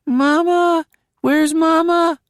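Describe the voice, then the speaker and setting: A man's voice: extremely high pitch, fairly even intonation, very slow, dry recording, clean studio sound, voice pushed up, full character voice. A man voicing a frightened small boy under hypnosis, droning and pleading in repetition.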